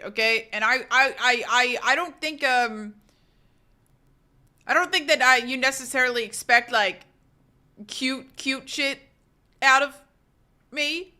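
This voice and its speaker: Bright-voiced woman chatting casually on stream. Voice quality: says her voice is fairly low and relaxed when tired. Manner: chatty, swears casually, many restarts and fillers. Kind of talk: casual chat reading live comments.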